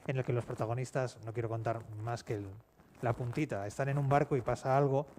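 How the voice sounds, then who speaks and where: A man's voice: mid-range voice, fairly even intonation, a little fast, a little mellow. A man speaking informally as a writer on a discussion panel. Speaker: panelist, audio drama writer. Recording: noisy live event room.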